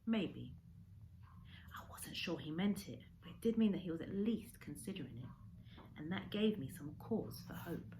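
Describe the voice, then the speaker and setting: A woman reading a children's story aloud as a teacher, in expressive narration. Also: on the low side, moderate pace, in a whisper. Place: indoor room with a window. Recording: echoey room with faint background noise.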